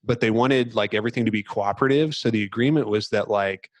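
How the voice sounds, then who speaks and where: A man's voice: on the low side, quick pace, dry recording. A man hosting a casual video game discussion, explaining things in a loose, rambling, hesitant way. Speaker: podcast host.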